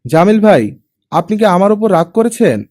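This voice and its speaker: A man's voice: sits high, very animated, moderate pace, a little mellow, voice pushed up. A man voicing an earnest, naive teenage girl who speaks with insistent, childlike directness in an audiobook. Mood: anxious.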